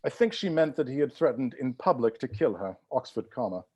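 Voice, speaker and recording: Man playing a pedantic, husky, swooping butler. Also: mid-range voice, very animated, a little fast, a little mellow. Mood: pointed, knowing. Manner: pedantic, pointed, theatrical. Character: fussy, pedantic, accusing male butler hosting suspicious dinner guests. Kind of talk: live table read of a film script.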